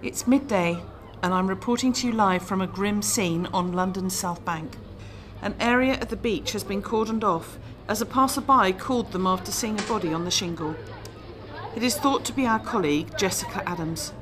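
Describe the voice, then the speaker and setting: A sombre female news editor played by an actress delivers a formal live report in a radio drama. Her voice is mid-pitched, with faint ambient noise behind it. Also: moderate pace, a bit bright, natural voice. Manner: formal, solemn.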